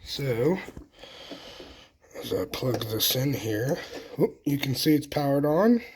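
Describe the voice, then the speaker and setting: A man with a folksy, unhurried way of thinking aloud as he hosts a casual hands-on DIY demonstration. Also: mid-range voice, lively intonation, background noise. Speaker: host of a homesteading DIY vlog.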